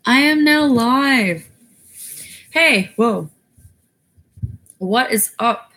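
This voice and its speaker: A woman with a raspy voice chatting casually on a livestream, rambling with many fillers. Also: fairly high pitch, lively intonation, very slow, fairly bright tone, poor audio. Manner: rambling, loose, lots of fillers. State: winded after exercising. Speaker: YouTuber going live.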